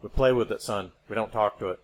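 A man's voice: lowish voice, fast. A man in his thirties co-hosting a podcast, talking casually in a joking, teasing way. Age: 30s. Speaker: podcast co-host. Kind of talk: casual chat. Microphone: podcast microphone.

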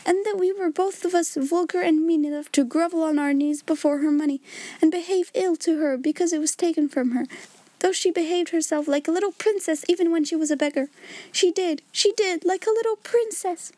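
A woman's voice: high-pitched, steady tone, brisk, mellow, no room sound, pitched above the performer's own voice. A woman voicing a timid middle-aged sister who pours out emotional, hysterical reproaches in a storybook reading. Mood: hysterical, accusing. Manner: breathless, emotional outpouring.

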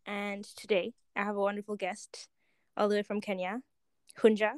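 A woman hosting a podcast in casual, excitable chat, with informal, slangy phrasing. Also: medium pitch, moderate pace, clean tone, dry recording, clean studio sound. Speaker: podcast host.

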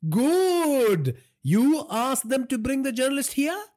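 A man voicing a hot-tempered older Indian crime boss father in an audiobook, gruff, coarse and domineering. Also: extremely high pitch, big pitch swings, slow, a little mellow, pitched above the performer's own voice, exaggerated voice. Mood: commanding. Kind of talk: audiobook character dialogue.

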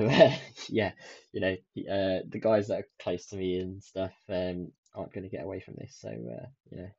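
A young man from the UK hosting his own podcast in a soft, soothing voice, rambling with many ums and restarts. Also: fairly low pitch, breathy edge. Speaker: podcast host. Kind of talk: casual solo podcast chat. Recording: dull lo-fi sound.

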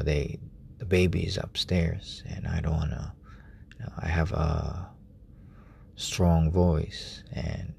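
A man preaching softly at night in a relative's room, speaking in a hushed, halting way with many fillers. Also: a bass voice, vocal fry, slight background noise. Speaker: lay preacher. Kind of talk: informal late-night sermon.

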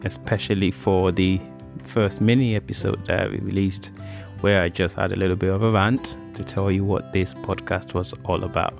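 A man's voice: deep voice, vocal fry. A man hosting a podcast in an informal, chatty, conversational way. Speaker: podcast host.

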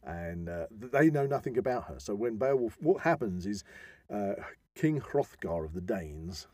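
Low, husky, mellow man explaining things. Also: animated, moderate pace, no echo. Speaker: podcast host. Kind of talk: informal explanatory discussion of an old legend.

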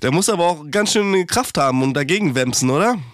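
A man's voice: on the high side, expressive, quick pace, no room sound, studio quality. A man co-hosting a casual podcast about heating repair, chatty and swearing, talking with a slight cold. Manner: chatty, swearing, rambling with restarts. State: has a cold. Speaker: podcast co-host, heating technician.

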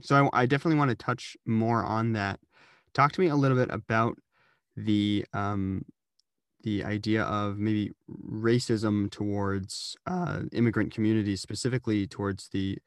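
A man's voice: lowish voice, steady tone, moderate pace, no echo, studio quality. A man interviewing a guest, rambling through his questions and repeating words as he searches for them.

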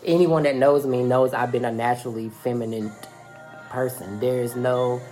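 A man's voice: mid-range voice, steady tone, normal speed, darkish tone. A young American man with a naturally feminine-sounding voice, chatting candidly and in slang as a podcast host. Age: young adult. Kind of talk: casual podcast chat. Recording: faint music behind the voice.

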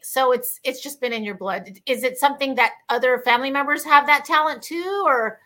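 A woman's voice: high voice, fairly quick, bright, forceful tone. A woman hosting a casual interview, enthusiastic and chatty, with many fillers and restarts. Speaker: host.

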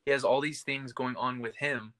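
A man's voice: mid-range voice, fairly even intonation, moderate pace, a bit bright, dry recording. A young man co-hosting a podcast, talking about television in an informal, conversational way. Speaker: podcast co-host. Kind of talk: casual TV discussion.

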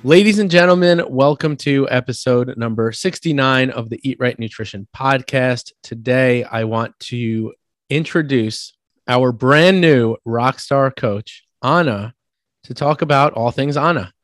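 A man's voice: mid-range voice, measured pace, no echo. Upbeat male podcast host, dry mic. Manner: upbeat, energetic intros, curious questions. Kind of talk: casual interview chat.

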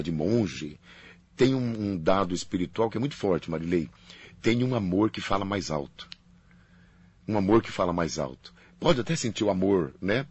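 Deep husky priest. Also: male voice, no room sound. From Paraná, Brazil. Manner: calm, explanatory, repeats key phrases. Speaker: priest and faculty representative. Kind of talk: interview guest explaining at length.

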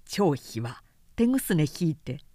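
A woman narrator reading a literary work aloud as an expressive storyteller. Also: deep voice, measured pace, no room sound, clean studio sound. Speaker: narrator, reader.

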